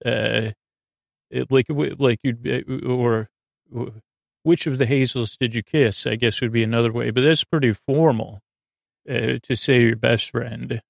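A man hosting a bedtime podcast in a rambling, hesitant monologue, gently reassuring, stammering and circling back as he goes. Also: mid-range voice, steady tone, a little slow, vocal fry, dry recording, clean studio sound. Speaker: host of a bedtime sleep podcast.

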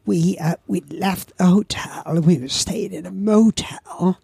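A man in his seventies with a Welsh accent and a croaky old voice, chatting as a podcast guest and telling lively stories that repeat words for effect. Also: high-pitched, measured pace, no room sound, clean studio sound. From Wales. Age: 70s. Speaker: guest, veteran surfer. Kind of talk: casual anecdotal chat.

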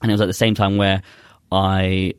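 Deep, flat-voiced young man. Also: clear, resonant tone, no room sound, clean studio sound. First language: Urdu. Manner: earnest, halting with many fillers. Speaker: poet guest. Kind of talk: casual chat and spoken-word poetry recital.

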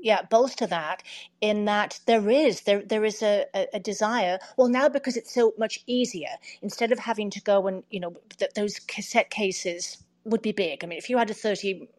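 A middle-aged woman talking casually and reflectively, with measured phrasing and small hesitant pauses. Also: mid-range voice. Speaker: audiobook narrator, interview guest.

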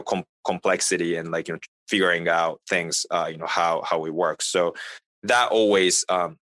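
A man from Japan with a Japanese accent, a native Japanese speaker, answering as an interview guest in a halting, conversational way with repeated filler phrases. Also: low voice, fairly bright tone, dry recording. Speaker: interview guest, fintech business representative.

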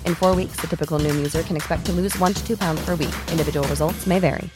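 A woman's voice: sits low, fairly even intonation, fast. A woman narrating a scripted advertisement as an announcer. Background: music.

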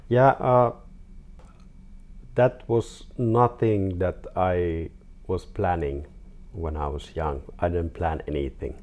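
A 42-year-old man from Finland with a Finnish accent, talking casually and haltingly as an interview guest. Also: low-pitched, a little mellow. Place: artist's studio.

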